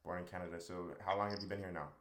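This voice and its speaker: Fast-talking deep-voiced interviewer, roomy sound. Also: male voice, level tone, a bit bright. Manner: brisk, short prompts and follow-ups. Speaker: interviewer host. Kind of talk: interview questions. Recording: roomy echo.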